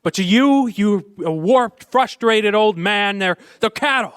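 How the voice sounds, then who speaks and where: High male voice, earnest young small-town man, stammering, folksy, old-time radio play, dry close sound. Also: expressive, unhurried, fairly bright tone, clean tone, no echo. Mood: indignant, earnest. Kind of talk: live radio play acting in an old-fashioned manner.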